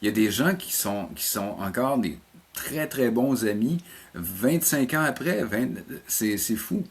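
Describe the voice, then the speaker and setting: A man's voice: mid-range voice, expressive, measured pace, slightly rough. A middle-aged man with a Quebec French accent, chatting casually as an interview guest and often repeating words as he searches for his phrasing. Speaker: animation teacher and programme coordinator, interview guest.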